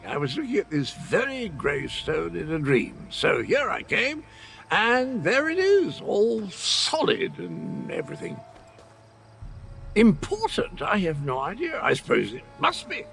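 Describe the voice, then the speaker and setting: A whimsical, amiably muddled game mystic. He rambles theatrically in a bright, creaky voice that leaps about extremely, over faint music. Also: a little high, wildly swooping pitch, a little slow, exaggerated voice. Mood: cheerfully muddled. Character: whimsical, dotty male portal-hopping mystic, amiably muddled. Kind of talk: video game character acting.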